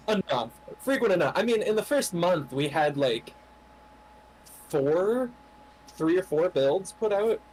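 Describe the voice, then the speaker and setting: A man's voice: sits high, animated, slow, a little mellow, breathy edge, rough recording. A man, a game developer, explaining the project's progress on a casual livestream in a thoughtful way, often saying "you know.". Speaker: game developer answering questions.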